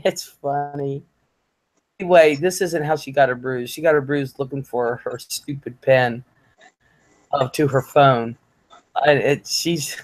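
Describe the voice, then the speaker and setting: A woman's voice: very deep voice, darkish tone, rough recording. Woman host on a livestream, chatty, laughs a lot, swears casually, lots of ums. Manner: chatty, laughing, swears freely, many fillers. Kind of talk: casual chat.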